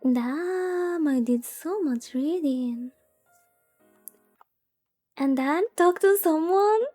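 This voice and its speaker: Female VTuber, high soft-toned voice, unhurried playful rambling as she plays a visual novel, game music faintly behind. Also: animated. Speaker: VTuber streamer. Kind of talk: casual chat while playing a visual novel.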